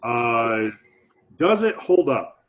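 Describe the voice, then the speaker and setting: A man's voice: mid-range voice, big pitch swings, very slow. A man co-hosting a movie podcast in casual chat, laid-back and hesitant, often restarting his sentences. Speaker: podcast co-host.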